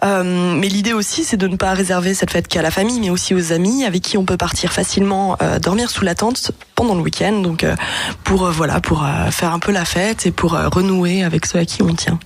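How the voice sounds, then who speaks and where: A woman's voice: fairly low pitch, brisk, vocal fry, breathy edge. A woman giving an informal explanatory radio talk, hesitant and often circling back as she goes. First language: French. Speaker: radio contributor presenting a segment.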